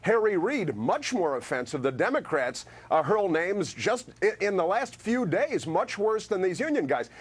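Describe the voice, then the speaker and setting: A male radio host arguing emphatically on a news panel. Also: fairly high pitch. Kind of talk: panel debate.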